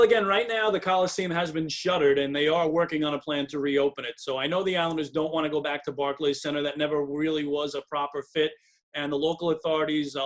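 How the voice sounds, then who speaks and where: Male sports broadcaster as interview guest, slightly high voice, very quick delivery, emphatic and detailed. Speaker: radio play-by-play hockey broadcaster, interview guest. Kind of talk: interview answers, sports analysis.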